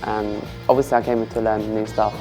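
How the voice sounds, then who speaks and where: A man's voice: lowish voice, little pitch movement, a little fast, darkish tone. A man in his twenties from London, a contestant talking nervously and fumbling his words. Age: 20s. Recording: interview with music beneath.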